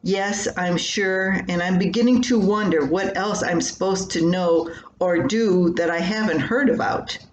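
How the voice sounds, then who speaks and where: A wry farm wife played by an older woman reading a story, patient yet exasperated and gently chiding. She speaks slowly and pointedly in an echoey room, with faint noise behind. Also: on the low side, natural voice. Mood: wryly exasperated. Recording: roomy echo with faint background noise.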